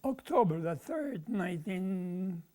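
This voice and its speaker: A man, speaking haltingly in an oral history interview about his life. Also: high-pitched, expressive, warm tone. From Croatia. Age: 90s. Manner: halting, with many pauses and fillers. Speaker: veteran being interviewed.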